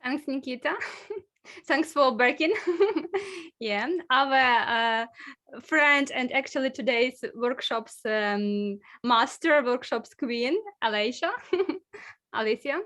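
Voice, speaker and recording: A woman's voice: on the high side, slow, long pauses, bright, forceful tone. A woman with a Ukrainian accent, a club president introducing guests at an online meeting and laughing often between names. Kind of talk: casual introductions.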